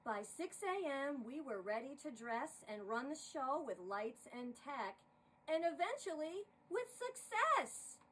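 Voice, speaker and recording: A woman narrating a rhyming story in an expressive, theatrical style. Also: sits high, slow. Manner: dramatic, expressive. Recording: distant mic with faint noise.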